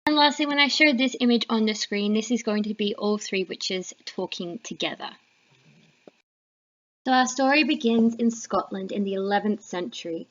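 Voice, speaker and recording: A woman's voice: mid-range voice, moderate pace. A woman giving an explanatory lesson voice-over to students as a literature teacher. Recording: slightly dull, lo-fi recording.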